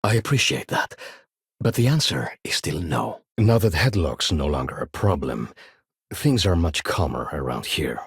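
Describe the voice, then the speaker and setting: Deep-voiced, haughty boutique shopkeeper. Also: male voice, normal speed, husky, no room sound, studio quality, full character voice. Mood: polished, courteous. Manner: refined, expressive, snippy. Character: fussy, haughty male luxury shopkeeper in a sci-fi city. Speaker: video game character. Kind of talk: video game voice acting, short standalone dialogue lines.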